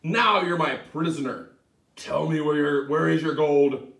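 A man's voice: sits high, very animated, unhurried, fairly bright tone, voice pushed up, heavily acted. A man voicing Tom, a clever, eager young man who boasts and gives orders. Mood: commanding. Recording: echoey room, mic at a distance.

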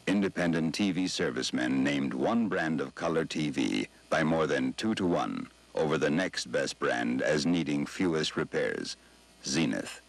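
A man's voice: a bass voice, lively intonation, unhurried, gravelly. A man giving a formal, scripted advertising read as a television announcer. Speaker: commercial announcer.

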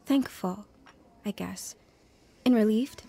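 A woman's voice: mid-range voice, slow, gravelly, warm tone, breathy edge, heavily acted. An actress playing an earnest, wounded young woman telling her life story in a dramatized testimony. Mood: hesitant, hurt. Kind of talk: dramatized first-person testimony narration and dialogue.

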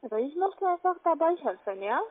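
A woman's voice: high-pitched, sing-song, fairly quick, narrow, tinny audio, a crowd in the background. A woman with a foreign accent in German, a call-center agent giving insistent step-by-step instructions over the phone. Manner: insistent, repeatedly checking with tag questions.

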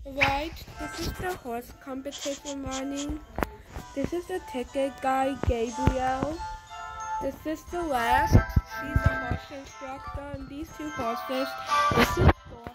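Slow-talking young girl giving a tour. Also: high voice, fairly even intonation, low-quality recording. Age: under 10. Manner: names characters one by one, halting restarts. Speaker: tour guide of her game world. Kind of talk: casual show-and-tell narration. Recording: music playing behind.

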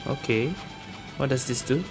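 A man's voice: medium pitch, steady tone, moderate pace. A man giving casual gameplay commentary as a gaming host, dropping colloquial tags like lah and eh. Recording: game music behind the voice. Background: video game music.

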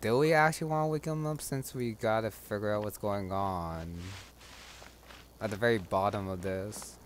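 Low-voiced man commenting on gameplay. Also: moderate pace, a little hiss or hum. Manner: musing to himself. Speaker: gamer, player commentator. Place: indoors, voice over gameplay audio.

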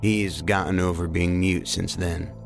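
An actor playing a terse, probing federal investigator with dry, clipped questioning in an audio drama. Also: male voice, sits low, steady tone, measured pace, creaky, faint music behind. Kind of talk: science fiction audio drama acting.

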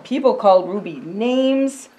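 An older woman reads a children's storybook aloud at a slow pace. Her pitch sits in the middle range. She phrases things simply and deliberately, stepping aside from the text to explain as to a child. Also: expressive, mellow, dull audio. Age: 60s. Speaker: pastor and storytime reader. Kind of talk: reading a picture book aloud with asides to a child. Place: indoor storytime set by a fireplace.

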